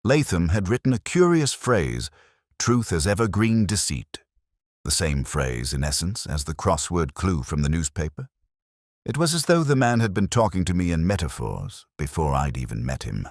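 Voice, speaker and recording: Very deep, brooding police inspector played by a man. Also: sing-song, no room sound, studio quality, full character voice. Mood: brooding, measured. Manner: measured, ruminative, literary turns of phrase. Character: melancholy, sceptical English male police inspector narrating his case. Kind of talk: first-person scripted audio drama narration.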